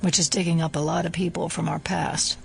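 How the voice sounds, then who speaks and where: A woman playing a serious, analytical FBI agent and scientist in an audio drama, clinical and measured. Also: on the low side, little pitch movement, creaky, soft background music.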